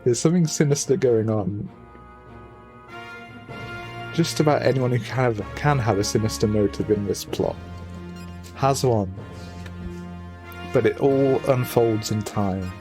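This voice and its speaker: A man's voice: medium pitch, unhurried, pauses often. A man giving casual, rambling game commentary, musing aloud, often trailing off and reading bits of on-screen text. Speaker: let's player, gaming video host. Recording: noisy home microphone, game music behind. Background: video game music.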